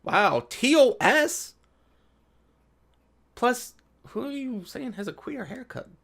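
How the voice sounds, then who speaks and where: A man's voice: extremely high pitch, big pitch swings, takes it slowly, vocal fry, a bit bright. A man giving casual, sarcastic commentary as a video creator, with an exasperated and profane way of talking. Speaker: video creator reacting to an article. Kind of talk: casual commentary alternating with reading an article aloud.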